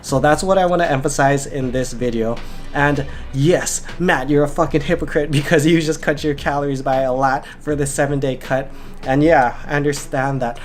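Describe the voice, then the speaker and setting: A man's voice: on the high side, steady tone, moderate pace, soft background music. Nasal young man talking fitness. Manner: rambling, filler-heavy with frequent "like". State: congested and nasal from a cold. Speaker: fitness vlogger and coach. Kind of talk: casual vlog chat.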